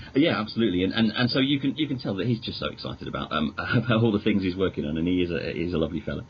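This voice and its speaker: Deep-voiced British man on muffled, noisy audio. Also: lively intonation, racing. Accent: British English. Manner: hesitant, rambling, enthusiastic. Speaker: magazine editor, podcast guest. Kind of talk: casual interview chat. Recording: dull lo-fi audio with clear noise.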